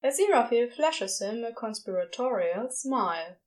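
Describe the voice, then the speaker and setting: A woman narrates scripted fantasy fiction. Her voice sits on the high side. Her intonation swings widely and keeps the reading animated. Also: normal speed.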